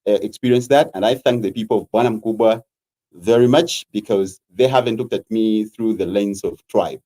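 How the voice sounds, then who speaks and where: A Zambian man with a Zambian accent, a political candidate answering interview questions in a measured, earnest way with frequent hesitations. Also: fairly low pitch, mellow, rough recording.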